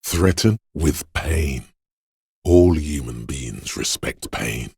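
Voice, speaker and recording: An actor voicing a video game character, a paranoid, aggressive inner voice of fight-or-flight instinct, speaking in an ominous, raspy, urging way. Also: male voice, expressive, darkish tone, dry recording, studio quality, full character voice. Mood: menacing, brooding. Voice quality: very deep, gravelly, raspy. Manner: slow, deliberate, ominous urging.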